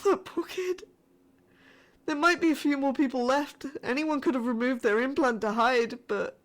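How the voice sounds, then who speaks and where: A woman playing a frightened, pleading yet defiant spaceship crew member in a horror sci-fi audio drama, with halting, emotional delivery. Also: fairly high pitch, close to an everyday voice.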